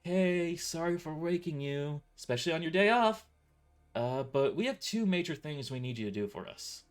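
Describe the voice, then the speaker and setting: A man's voice: fairly high pitch, animated, normal speed, pitched above the performer's own voice, lightly acted. A man voicing a sheepish young office dispatcher, hesitant, stammering and apologetic, in an audio drama.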